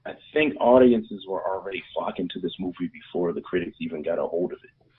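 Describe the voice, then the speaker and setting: Deep husky man on a phone line. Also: lively intonation, fairly quick. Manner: blunt and sarcastic. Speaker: podcast co-host. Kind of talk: casual movie podcast chat.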